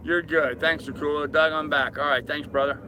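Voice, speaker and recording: A man's voice: a little high, fairly even intonation, a little fast, background noise. An older man hosting a casual live chat from a boat, rambling as he reads and answers comments and addresses viewers familiarly. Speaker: live-stream host. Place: aboard a boat at anchor.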